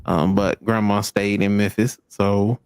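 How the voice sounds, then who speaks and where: A man's voice: fairly low pitch, little pitch movement, a little slow, darkish tone, clear, resonant tone, no room sound, studio quality. A man from Arkansas with a Southern American accent, chatting casually and a little ramblingly as a streamer being interviewed. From Arkansas, United States. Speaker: guest, video game streamer.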